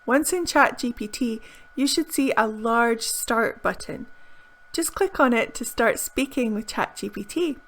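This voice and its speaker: A woman presenting a lesson video in a clear, upbeat, carefully enunciated teaching manner, recorded indoors on a close camera mic. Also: fairly high pitch, animated, measured pace, noisy background. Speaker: English teacher.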